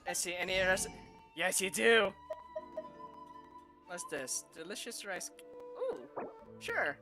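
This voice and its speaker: A woman's voice: medium pitch, sing-song, takes it slowly. A woman game streamer giving casual live commentary, thinking aloud as she plays. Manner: thinks aloud, reacts to dialogue. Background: game music.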